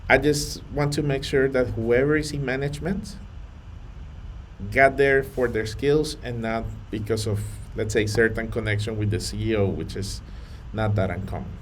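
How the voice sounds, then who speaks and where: Man, earnest to-camera vlogger, mid pitch, faint room noise. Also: steady tone, poor audio. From Colombia. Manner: earnest, measured, with fillers and restarts. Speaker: vlogger on data careers. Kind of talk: to-camera talk.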